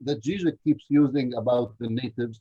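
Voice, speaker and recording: Guy on a lo-fi call, husky low tone, keeps asking what do you mean, tells a long joke. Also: brisk. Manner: probing, questioning, tells anecdotes with many restarts. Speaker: panelist. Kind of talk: online panel debate.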